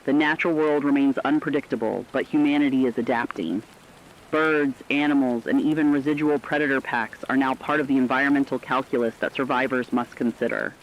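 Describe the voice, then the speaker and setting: A man playing a sober radio broadcaster, reading a scripted post-catastrophe report in an earnest, measured way. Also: mid-range voice, steady tone, muffled recording, slight background noise, natural voice. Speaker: radio announcer.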